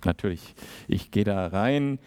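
A man's voice: lowish voice, animated, unhurried, darkish tone, clear, resonant tone. Casual conversational male preacher. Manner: conversational, acts out small dialogues. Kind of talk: sermon.

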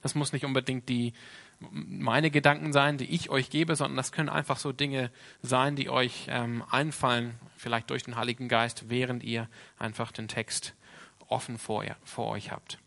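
Gravelly Australian man preaching, bright voice. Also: medium pitch, flat delivery, moderate pace. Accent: Australian English. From Sydney, Australia. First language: English. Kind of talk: informal Sunday sermon.

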